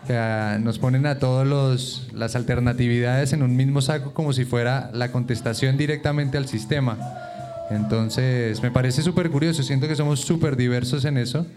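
A man moderating a radio discussion circle, inviting guests to speak in a relaxed, unhurried way. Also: medium pitch, faint music behind. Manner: relaxed, inviting, slow-paced questions.

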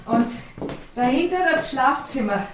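A woman's voice: sits high, animated, measured pace, a bit bright, echoey room, far from the mic, slight background noise. An actress playing a deferential, eager landlady in a radio drama, polite, obliging and a little hesitant. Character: deferential, eager married landlady showing rooms. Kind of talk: German radio drama acting.

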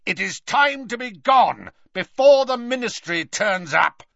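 A man narrating an audiobook as a furious, contemptuous wizard who shouts and taunts. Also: very high-pitched, big pitch swings, unhurried, gravelly, dry recording, dull audio, pitched above the performer's own voice, exaggerated voice. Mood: urgent, commanding.

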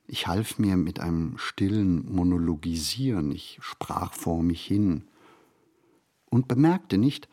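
Husky, low-voiced wry male writer. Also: a little mellow, exaggerated voice. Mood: reflective. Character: male writer looking back on a poor childhood, wry and melancholy. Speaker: actor performing a literary monologue. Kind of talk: literary first-person monologue, theatrical recitation.

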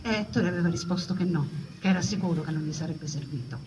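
A woman's voice: lowish voice, quick pace. A woman telling a story theatrically, as in a stage monologue, with dramatic, building repetition. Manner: dramatic delivery with repeated, building phrases. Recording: noisy, distant, dull lo-fi recording.

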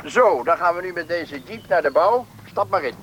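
An actor playing a helpful, talkative settlement official who politely explains things to newcomers in a Dutch radio play. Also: male voice, a little high, lively intonation, dull audio, natural voice. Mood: informative, proud. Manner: explanatory, polite, brisk guide. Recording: old radio play, faint background noise.

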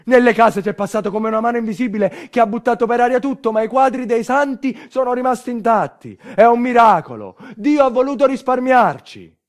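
A man playing a shaken village parish priest in a theatre monologue, speaking loudly and urgently in a raised voice as a desperate eyewitness. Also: very high voice, brisk, hardly any pauses, pitched above the performer's own voice. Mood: awed, fervent.

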